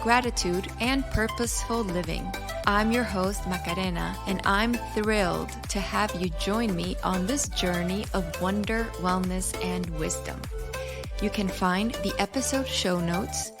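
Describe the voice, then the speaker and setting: A middle-aged woman from Chile hosting a podcast, chatting casually, bubbly and quick to laugh as she tells stories. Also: medium pitch. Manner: bubbly, laughs often, animated storytelling. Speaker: podcast host. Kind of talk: casual interview chat.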